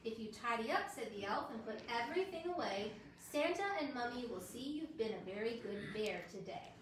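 A woman in her thirties reading a children's picture book aloud to a small audience, a lively storyteller. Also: on the high side, brisk, very noisy. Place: hotel lobby with Christmas tree and seated guests. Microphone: camera across the room. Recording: roomy echo, voice from a distance.

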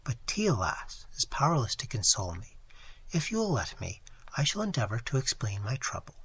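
A man voicing a distressed young lady in a read-aloud story, speaking in a low, beseeching murmur. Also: huge pitch swings, a little fast, fairly bright tone, breathy edge, exaggerated voice. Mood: earnest. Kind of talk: audiobook character reading.

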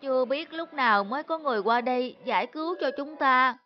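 A woman voicing a dutiful, anxious young male servant, deferential and earnest, in an animated folk tale. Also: high-pitched, brisk, dull audio, natural voice. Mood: apologetic.

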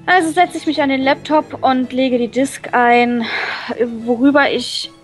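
A woman reading a visual novel aloud and translating it on the fly, halting and full of fillers. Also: high-pitched, soft background music. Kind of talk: casual let's-play reading.

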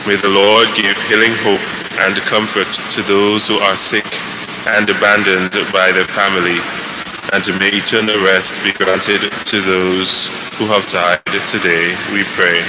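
A man at a church lectern reading the prayers of the faithful in a steady, measured way. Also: deep voice. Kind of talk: liturgical reading. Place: large church. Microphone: stand mic at lectern. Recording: echoey church with background noise.

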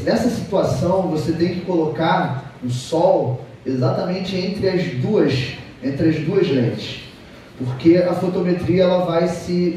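A Brazilian man in his thirties giving an informal technical talk, explaining haltingly with repeated words. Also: on the high side, warm tone. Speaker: conference speaker. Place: auditorium stage, seated at a table. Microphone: distant camera in the audience. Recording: echoey hall, distant mic, background noise.

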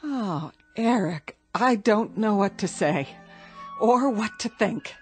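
A woman's voice: mid-range voice, expressive, takes it slowly. A woman playing a weary, worried, reproachful wife and mother in an American radio drama, speaking earnestly. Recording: music underneath.

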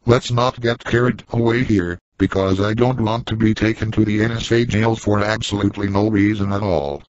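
A fairly low synthetic man voiced by a text-to-speech engine plays a stiff, formal robot hero. His mechanical voice has no room sound. The audio is dry and dull. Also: steady tone, moderate pace, no room sound, natural voice. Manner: stiff, formal machine reading.

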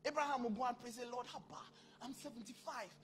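A man in his thirties preaching a sermon into a handheld mic on a large church stage, acting out dialogue with sudden bursts of loudness. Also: very high voice, fast, faint music behind. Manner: animated, acts out dialogue, bursts of volume. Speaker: pastor.

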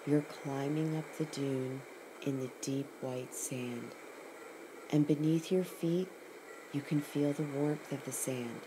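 A woman with a notably low voice leads a scripted guided meditation. She speaks very slowly and soothingly, setting each short phrase apart with a pause. Clear ambient noise runs through the recording. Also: fairly even intonation. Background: ocean sounds.

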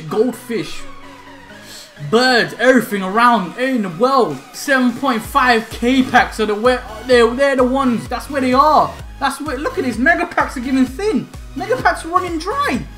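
A man's voice: very high-pitched, animated, moderate pace. A young man giving hyped, slangy commentary while opening game packs at home. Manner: hyped, shouting and laughing, slangy banter. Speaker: gaming YouTuber. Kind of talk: excited pack-opening commentary. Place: small home room, indoors. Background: faint background music.

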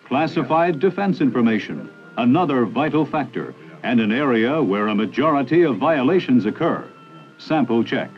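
A man narrates a mid-century educational film in a mid-pitched, authoritative voice. His scripted delivery is formal and clipped through lists. The soundtrack is old and muffled. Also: lively intonation, normal speed, faint music behind.

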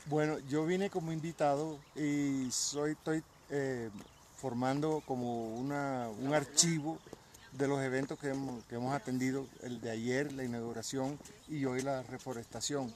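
An older man from Colombia answering questions outdoors in a park, speaking slowly with pauses and fillers. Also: mid-range voice, steady tone, a little mellow. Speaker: event photographer. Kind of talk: casual outdoor interview. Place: outdoor park with trees and lawn. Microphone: phone camera held close. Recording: crowd chatter behind.

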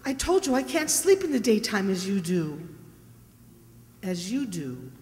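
An older woman playing a frightened, distraught wife in a radio mystery, halting and dramatic. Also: medium pitch, big pitch swings, a little mellow, slight background noise. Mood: anxious, weak. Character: frightened, distraught wife narrating a horror tale. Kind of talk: old-time radio mystery acting. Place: stage in a hall, live reading. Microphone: stand microphone. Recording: echoey hall stage performance, music behind.

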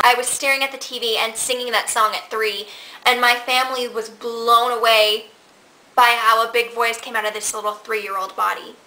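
A woman's voice: on the high side, low-quality recording. A woman in her twenties telling stories in a casual question-and-answer vlog, talking from her living room to a camera in front of her. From Nebraska. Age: 20s. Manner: chatty and animated, gestures with hands while telling stories. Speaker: vlogger. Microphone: camera in front at desk distance.

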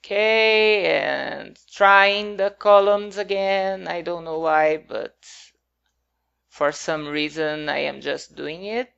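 A woman's voice: on the low side, takes it slowly, vocal fry, fairly bright tone, clean tone, highs cut off. A woman whose first language is not English, talking casually over her game build in a hesitant, rambling way with many fillers.

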